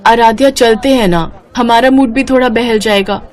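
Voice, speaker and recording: A woman's voice: fairly high pitch, moderate pace, a faint crowd, voice pushed up, natural voice. A woman voicing an affectionate, eager teenage schoolgirl in a moral story, with an emotional, pleading manner. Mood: reassuring, coaxing. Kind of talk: animated moral story character acting.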